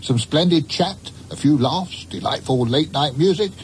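A man's voice: a little high, expressive, moderate pace, a little mellow, slight background noise. A man voicing a jokey scripted radio promo. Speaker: radio trailer announcer.

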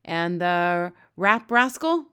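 A woman's voice: fairly low pitch, very animated, slow pace, sharp tone, clear, resonant tone, heavily acted. A woman voicing a very old, kindly male giant who talks with mangled grammar and made-up words. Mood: scornful.

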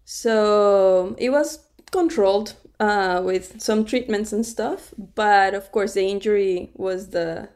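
A woman's voice: mid-range voice, slow, few pauses, darkish tone. A middle-aged woman from Cuba, a podcast guest telling her story in a conversational interview, laughing often and restarting her sentences.

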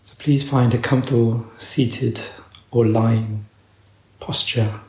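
A man leading a guided meditation as a teacher, speaking in a slow, measured way with long pauses. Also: mid-range voice, steady tone, darkish tone, reverberant.

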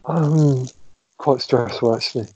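A man's voice: mid-range voice, measured pace, darkish tone, slightly rough. Hesitant man on a lo-fi headset. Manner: hesitant, many ums, trails off. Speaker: podcast co-host. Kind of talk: casual podcast chat. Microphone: old phone headset. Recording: dull lo-fi phone headset.

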